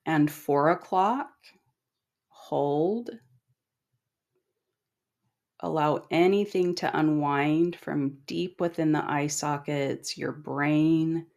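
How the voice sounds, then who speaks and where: A middle-aged woman leading a guided meditation in a calm, gentle, instructing manner with long pauses. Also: deep voice, vocal fry. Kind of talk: guided body scan meditation.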